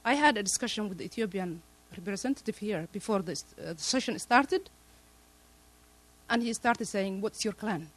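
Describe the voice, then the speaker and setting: A man's voice: high voice, lively intonation, moderate pace, fairly bright tone, poor audio. Pleading man from Somalia. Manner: emotional, pleading, repetitive. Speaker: panelist. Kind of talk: impassioned appeal to an audience.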